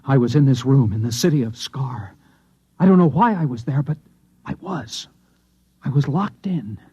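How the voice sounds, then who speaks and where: Mellow, muffled, haunted Martian man. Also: medium pitch, lively intonation, slightly rough. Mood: haunted, distressed. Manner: halting, haunted, earnest. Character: dismal, shaken Martian man, last of his kind. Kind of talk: old-time radio drama acting. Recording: dull old radio recording.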